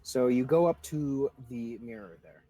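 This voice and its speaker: A man's voice: mid-range voice, unhurried, dark tone, dry recording, poor audio. A man narrating a tabletop roleplaying game as game master, informally describing scenes and questioning the players.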